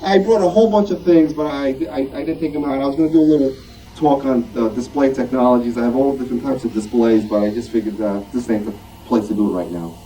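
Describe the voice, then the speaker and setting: A man's voice: medium pitch, steady tone, fast, dark tone. A man speaking informally to a club at show and tell, rambling and self-deprecating in a conversational way. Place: club meeting room. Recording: distant mic, noisy room.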